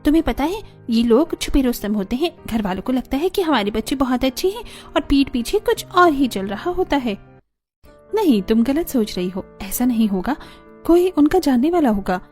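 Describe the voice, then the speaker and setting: A woman's voice: high-pitched, darkish tone. A woman voicing chatty young women in a cartoon family story.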